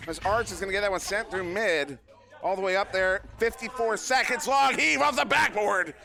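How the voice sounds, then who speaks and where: A man casting a live esports match, joking at his own expense and talking with many fillers, his voice worn and going hoarse. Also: high-pitched, moderate pace, bright, forceful tone. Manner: joking, self-deprecating, rambling with fillers. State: losing his voice. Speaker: esports caster. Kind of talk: live play-by-play commentary. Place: live event venue.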